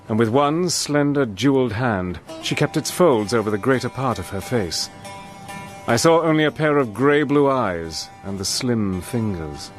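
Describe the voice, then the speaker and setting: A man narrating a radio drama in a measured, descriptive way. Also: medium pitch, natural voice. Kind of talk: scripted narration.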